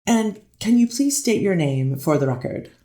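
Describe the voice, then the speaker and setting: A performer playing a hesitant, deferential defense lawyer who pleads to a judge in halting, repetitive phrases, in a courtroom readers theater piece. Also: medium pitch, expressive, warm tone, natural voice. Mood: polite. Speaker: defense attorney. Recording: roomy, echoing voice recording.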